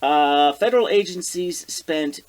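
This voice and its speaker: Animated American man in casual debate. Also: on the high side. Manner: halting, searching through notes aloud. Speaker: podcast co-host. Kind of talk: casual political chat, citing figures.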